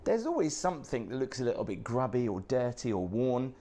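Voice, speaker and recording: A man with a croaky, hoarse voice from the flu, giving an enthusiastic, chatty tour of a hotel room. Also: mid-range voice, sing-song, fairly quick, mellow. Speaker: hotel reviewer.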